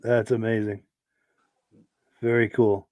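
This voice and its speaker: A man hosting a podcast in a relaxed, chatty way, reading out viewer comments while his voice is hoarse from a sore throat. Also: fairly low pitch, takes it slowly, no room sound. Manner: relaxed, chatty, affirming with yeahs. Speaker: podcast host.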